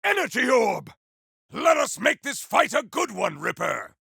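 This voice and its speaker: Booming, raspy warrior with wild pitch swings. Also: male voice, sing-song, a little slow, pushed and bright, no room sound, clean studio sound, full character voice. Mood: grave, measured. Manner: theatrical, declamatory, grandiose. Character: fierce honorable cyborg warrior, bombastic and noble. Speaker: video game voice actor. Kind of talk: video game combat barks.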